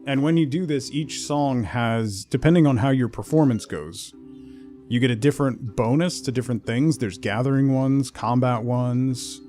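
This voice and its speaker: A man's voice: medium pitch, moderate pace, dark tone. A man chatting casually as he streams a game, thinking aloud in short fragments. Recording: music behind the voice. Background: music.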